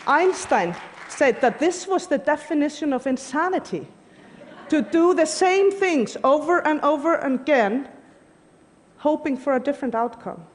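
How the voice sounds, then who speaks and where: A woman's voice: high voice, animated, measured pace, some chatter behind, a little hiss or hum. A woman, giving an earnest, emphatic prepared talk on stage. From Iceland. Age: 40s. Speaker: conference speaker. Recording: long hall reverb.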